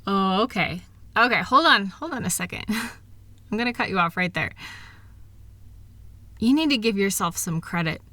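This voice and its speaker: A woman playing a kind, reassuring wife who gently and earnestly encourages her partner in an intimate one-sided roleplay audio. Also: mid-range voice, lively intonation, moderate pace, long pauses, no room sound. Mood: gently firm.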